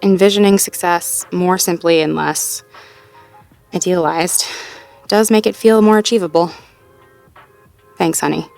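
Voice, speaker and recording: A woman's voice: lowish voice, a little slow, vocal fry, long pauses, faint music behind. A woman playing a sweet, self-doubting, playfully wry girlfriend in an intimate audio roleplay, talking casually and conversationally with a partner. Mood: affectionate, teasing. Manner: conversational, casual, with sighs and soft chuckles. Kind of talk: intimate slice-of-life audio roleplay acting, one-sided conversation.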